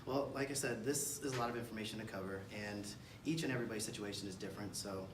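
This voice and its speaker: Distant, echoey low-voiced American man presenting. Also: quick pace. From Kansas City, United States. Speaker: real estate agent presenting a seminar. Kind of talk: seminar presentation. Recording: distant mic, roomy echo, clear background noise.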